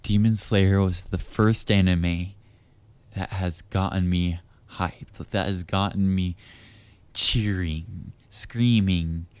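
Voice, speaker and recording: Man, dark mellow tone, unhurried, intimate roleplay monologue, teasing, very dry close mic. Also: low voice, clean tone, no echo. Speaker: voice actor. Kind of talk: intimate audio roleplay monologue, addressing listener as darling.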